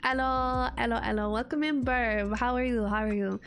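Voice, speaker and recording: A woman's voice: fairly high pitch, fairly even intonation, moderate pace. A young woman streaming casual chat while getting over a cold, her voice stuffy and congested, rambling and laughing often. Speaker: VTuber streamer.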